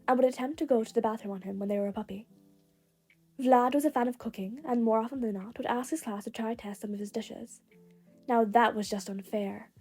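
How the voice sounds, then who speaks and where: A woman's voice: on the high side, mellow, husky. A woman reading fan fiction aloud as a scripted storytelling narration. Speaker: podfic narrator.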